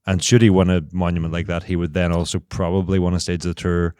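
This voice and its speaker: A man's voice: sits low, level tone, fast talker, warm tone, clear, resonant tone, no room sound, clean studio sound. A man co-hosting a casual podcast chat, analytical, working through long explanations with restarts. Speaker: podcast co-host.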